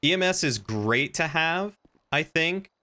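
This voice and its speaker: Bright-voiced man streaming casually. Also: on the high side, lively intonation, measured pace. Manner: rambling, thinking aloud, reading chat aloud. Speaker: livestreamer. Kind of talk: casual chat while streaming game roleplay.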